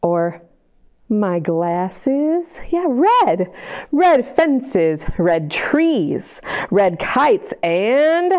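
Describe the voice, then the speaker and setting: A woman librarian reading picture books aloud at family storytime, animated and sing-song, gasping with delight and asking young listeners questions. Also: mid-range voice, extremely slow. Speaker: children's librarian.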